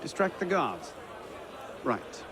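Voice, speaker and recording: A man's voice: on the high side, sing-song, slow pace, noisy background. A man playing a self-assured, dry-witted adventurer in a historical video game, speaking in a laconic way. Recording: crowd and music behind.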